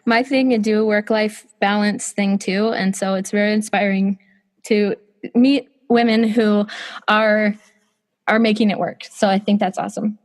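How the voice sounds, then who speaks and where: A woman's voice: medium pitch, fairly even intonation, moderate pace. A woman hosting an interview podcast, with a scratchy voice today, reading her intro and then chatting eagerly with her guest. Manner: enthusiastic, reads intro script then chats. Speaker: podcast host.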